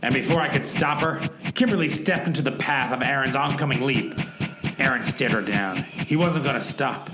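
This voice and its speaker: A man playing an earnest amateur sleuth who narrates a comic radio play with deadpan drama. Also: mid-range voice, normal speed, natural voice. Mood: dramatic, suspenseful. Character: earnest noir-style male amateur sleuth narrating his rescue mission. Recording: roomy echo with music underneath.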